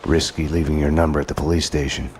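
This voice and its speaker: An actor playing a world-weary, brooding police detective in a noir game, deadpan and terse, sounding like a hardboiled narrator. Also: male voice, rumbling low, fast, slight background noise.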